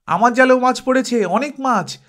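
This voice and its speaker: A man voicing an excited boy who shouts jubilantly about a catch on a river, in a storybook reading. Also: very high-pitched, normal speed, pitched above the performer's own voice. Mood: overjoyed, shouting.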